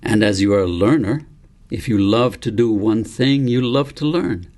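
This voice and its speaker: A man voicing a wise, adamant male elephant mentor in a storybook, speaking in a firm, instructive, deliberate way. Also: on the low side, darkish tone, lightly acted. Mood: encouraging. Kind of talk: storybook character read by the author.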